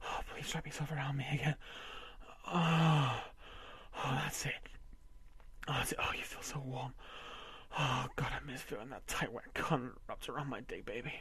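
A man voicing a domineering, possessive husband in an amateur audio roleplay, coarse and swearing, often dropping to a breathy whisper. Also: medium pitch, fairly even intonation, moderate pace, pauses often, fairly bright tone, lightly acted. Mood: panicked.

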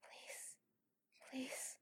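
A woman playing a submissive, teasing warrior lover in an intimate adult audio roleplay, whispery and pleading. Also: fairly bright tone. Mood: urgent, pleading. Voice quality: breathy, whispery. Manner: slow, pleading, coaxing.

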